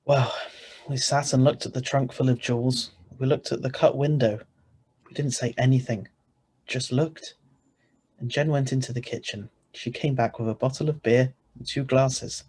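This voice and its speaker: A man plays an ordinary husband in a radio thriller, greedy and stubborn. His middle-pitched voice is husky, with a wry, bossy, conversational delivery. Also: fairly even intonation, moderate pace, darkish tone, lightly acted. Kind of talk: radio thriller narration and dialogue.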